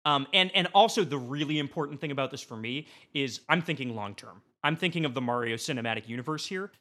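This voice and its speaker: A man with a bright, clear-edged voice of ordinary pitch talks as a guest in a casual debate. He delivers a rambling comic pitch in a mock-serious way, as if every point matters. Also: animated, fast. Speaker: podcast guest. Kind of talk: animated comedic pitch in a casual panel debate.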